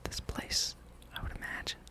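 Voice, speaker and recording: A person speaking in a breathy, unvoiced whisper, giving rambling, playful ASMR commentary while playing a video game. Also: normal speed. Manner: hushed, playful musing, reads game dialogue aloud. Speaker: gameplay streamer.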